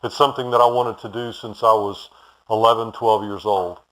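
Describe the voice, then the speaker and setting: A man's voice: lowish voice, steady tone, moderate pace, fairly bright tone, low-quality recording. A man from Houston whose first language was German, answering interview questions in a friendly, polite and rambling way with fillers. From Houston, Texas. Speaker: bicycle shop owner, guest.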